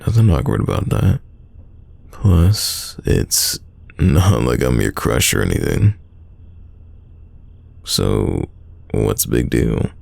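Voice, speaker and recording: Super deep crackly guy acting a stubborn teasing young man, tsundere roleplay audio, casual and sighing, really up and down. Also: animated, darkish tone, no echo, full character voice. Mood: teasing, insistent. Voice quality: very deep, gravelly voice. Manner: casual, teasing, drawn-out sighs. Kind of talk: intimate roleplay audio drama.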